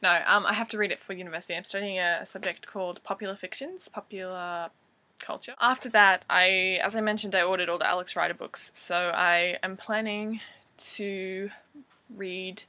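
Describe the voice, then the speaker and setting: Bright-toned young Australian woman vlogging. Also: mid-range voice, steady tone, moderate pace, no room sound. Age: 20s. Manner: rambling, self-deprecating, many fillers. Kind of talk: casual self-introduction. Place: bedroom with poster-covered walls, indoors. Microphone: webcam at desk.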